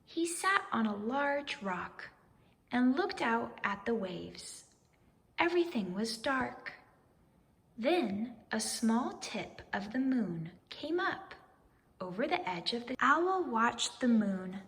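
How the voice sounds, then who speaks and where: Animated woman reading a children's story. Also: on the high side, slow, in a large hall. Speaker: storybook reader. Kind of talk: expressive children's read-aloud.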